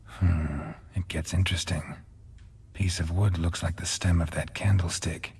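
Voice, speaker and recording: An actor playing a stoic, grizzled monster hunter in a fantasy video game, with a gravelly voice and a terse, deadpan delivery. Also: male voice, unhurried, heavily acted. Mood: terse, probing. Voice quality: gravelly, husky low voice. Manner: terse, deadpan, clipped questions. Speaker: player character in a fantasy role-playing game.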